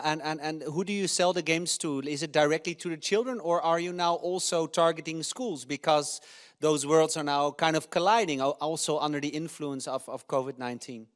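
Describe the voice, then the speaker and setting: A man with a Dutch accent moderating an online panel, halting, with many restarts and fillers, over a video call. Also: fairly high pitch.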